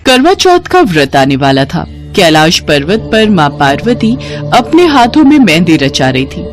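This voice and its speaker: Female narrator for devotional children's stories: reverent, expressive, lively melodic delivery, music bed. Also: lowish voice, a little slow. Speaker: storyteller.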